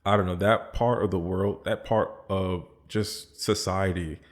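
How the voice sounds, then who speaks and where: Podcast guest, man, American accent, very low voice, loose rambling chat full of fillers. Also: fairly even intonation, a little slow. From born in the Bahamas, raised in the US. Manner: easygoing, rambling, many fillers and restarts.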